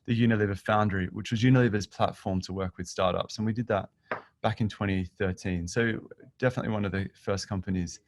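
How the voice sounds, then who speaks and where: A man's voice: fairly low pitch, steady tone, fairly quick, a little hiss or hum. A middle-aged man with an Australian accent, giving an informal presentation as a guest speaker. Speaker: guest speaker, business founder and session lead. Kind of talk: informal presentation telling own career story.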